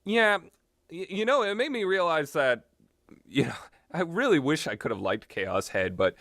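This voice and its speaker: A man's voice: on the high side, very animated, normal speed, fairly bright tone, dry recording, studio quality. A man giving a casual, unscripted, rambling review of visual novels, blunt and opinionated, often backtracking and going off on tangents.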